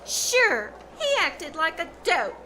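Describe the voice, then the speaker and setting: A woman's voice: very high-pitched, sing-song, slow pace, exaggerated voice. A woman playing a perky, confident, slangy teenage girl in an old-time radio comedy. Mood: supportive. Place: small theater stage, live performance. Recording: noisy live stage recording.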